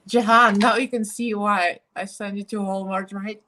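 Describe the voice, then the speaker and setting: A woman's voice: medium pitch, fairly even intonation, moderate pace, sharp tone. A woman with a Russian accent chatting casually and giggling as she does her makeup live for viewers.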